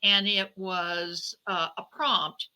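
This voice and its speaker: Woman author explaining stuff in an interview, really bright sharp voice, bit low. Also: fairly even intonation, takes it slowly, clean tone. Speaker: novelist being interviewed. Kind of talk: conversational interview answers, explaining.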